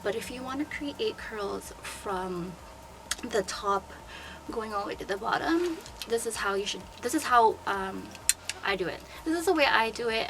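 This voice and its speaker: A young woman with a sore, hoarse throat, chattily explaining step by step how she curls her hair. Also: mid-range voice. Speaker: beauty vlogger and hairstylist. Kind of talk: casual hair tutorial. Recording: noticeable background noise.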